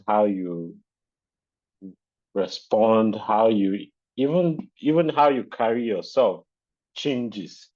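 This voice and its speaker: A man with a Nigerian accent hosts a webinar over a video call, his speech halting with fillers and restarts. His voice sits fairly low, and the call audio is dull and lo-fi. English is not his first language; Yoruba is. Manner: hesitant, many uh fillers and restarts, chuckles. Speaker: webinar host. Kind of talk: casual webinar chat.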